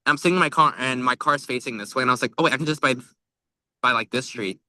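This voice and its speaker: A man's voice: medium pitch, fast talker, pushed and bright, no room sound. A young man chatting casually as the co-host of a book club podcast. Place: outdoors by a tree at a lake.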